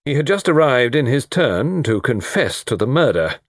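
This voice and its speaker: A man voicing a brisk, stern middle-aged chief constable in a storybook, questioning in a clipped, official way. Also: medium pitch, very animated, no echo, clean studio sound, voice pushed up. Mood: firm, brisk.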